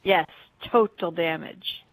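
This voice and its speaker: A woman's voice: fairly low pitch, slow, poor audio. A woman playing an earnest, plucky young female alien in a sci-fi game audio drama, a little unsure of herself. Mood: frustrated, earnest. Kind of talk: fan audio drama, game-story character acting.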